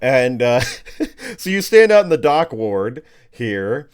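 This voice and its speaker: A man game-mastering a tabletop roleplay session. His voice is clean and smooth at an ordinary pitch, and he improvises the narration conversationally, easily amused. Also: very animated, slow.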